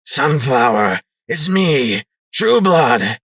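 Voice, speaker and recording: A man's voice: mid-range voice, big pitch swings, a bit bright, slightly rough, no room sound, exaggerated voice. A man doing a desperate, pleading ghoul stallion. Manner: pleading, slow and plaintive.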